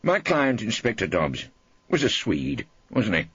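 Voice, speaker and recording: A man's voice: fairly low pitch, wildly swooping pitch, measured pace, a bit bright, husky, no room sound, highs cut off, pitched above the performer's own voice, full character voice. A man voicing a combative, irreverent old English barrister in an audiobook, theatrical and relentless, with sardonic cross-examining. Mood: hectoring. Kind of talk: audiobook character acting.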